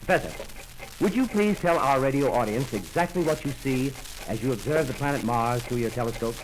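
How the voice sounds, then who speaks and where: An actor playing a polite, brisk radio field reporter describing a scene live in a 1930s radio drama. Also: male voice, medium pitch, lively intonation, slight background noise, close to an everyday voice, rough recording. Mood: earnest.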